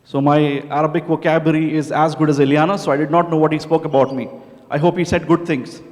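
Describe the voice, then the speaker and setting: A man from India presents to a conference crowd in a relaxed, joking way, his talk full of filler sounds. His voice sits a bit high. He is heard in a large hall with a long echo. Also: quick pace. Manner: casual, joking, many filler "uh"s. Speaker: conference speaker. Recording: hall reverb.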